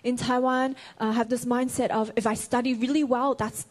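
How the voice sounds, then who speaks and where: Woman interpreter, fairly high voice, careful phrasing with self-corrections. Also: flat delivery, normal speed. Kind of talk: consecutive sermon interpretation.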